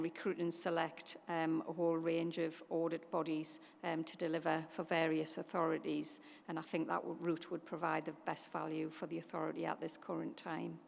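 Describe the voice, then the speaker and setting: Woman, finance briefing, hesitant formal talk, lowish voice, echoey council chamber. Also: gravelly. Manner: hesitant, many ums, formal. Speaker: finance officer. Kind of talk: briefing a meeting.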